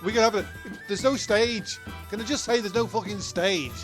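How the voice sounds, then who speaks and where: A man's voice: sits high, fairly quick, music in the background, lightly acted. A man voicing a flustered male castaway comedian who rants and swears when annoyed. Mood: ranting. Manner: ranting, sweary.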